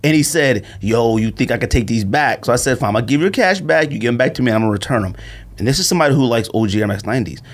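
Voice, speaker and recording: A man hosting a podcast in casual, slangy chat, speaking in an animated and opinionated way. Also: medium pitch, racing. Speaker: podcast host.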